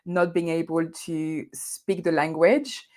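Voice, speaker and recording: Lower-voiced French woman, quick laughs. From France. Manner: animated, laughs often, hesitant restarts. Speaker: guest coach. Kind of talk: conversational interview.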